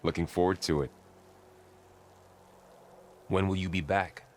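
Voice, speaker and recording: A man playing a steady, supportive warrior companion in a video game, gentle and reassuring in manner. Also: sits low, unhurried, slight background noise. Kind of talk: naturalistic video game acting.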